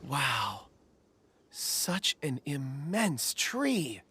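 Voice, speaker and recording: Calm low anime game voice, really slow and reserved, understated, noisy with clicks. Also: female voice, heavily acted. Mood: reflective. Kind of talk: anime video game voice acting. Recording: clear background noise with clicks.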